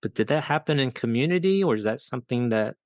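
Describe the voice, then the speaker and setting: A man's voice: mid-range voice, lively intonation, fast talker, a little mellow, no room sound, muffled recording. A man hosting a podcast in a conversational interview style. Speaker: podcast host.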